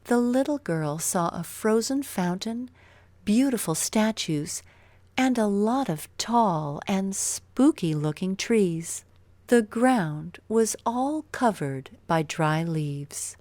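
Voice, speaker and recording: Casting: female children's storyteller, slow delivery, lowish pitch with very animated melody, dry studio-close sound. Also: no room sound. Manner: expressive, deliberate storytelling. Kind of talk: scripted children's story narration.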